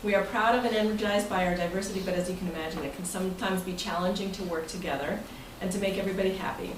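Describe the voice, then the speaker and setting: An American woman gives an informal, jokey talk as the host of a club meeting. She is picked up from a distance in an echoey room, with clear noise behind her. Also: mid-range voice, racing. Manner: jokey, breaking into chuckles. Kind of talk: informal speech to a club meeting, part read from prepared text.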